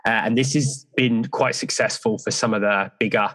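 Male fitness coach guest, deeper low voice, easygoing, rambling delivery. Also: normal speed. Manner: relaxed, rambling with fillers like "so yeah". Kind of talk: casual interview chat.

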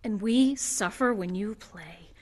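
A woman's voice: medium pitch, slow, a little mellow. A woman acting in an audio drama as a hard, suspicious queen of an underground gang, curt and commanding. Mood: wary. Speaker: actor.

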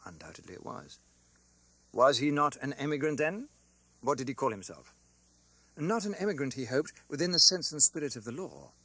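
A man narrating a classic novel as an audiobook, with a theatrical, expressive delivery. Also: medium pitch, normal speed, no room sound.

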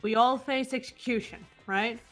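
A woman voicing a cool, composed teenage girl detective in a game, measured and challenging. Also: on the high side, steady tone, faint music behind, natural voice. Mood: cool, probing. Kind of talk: character voice acting in a game fan dub.

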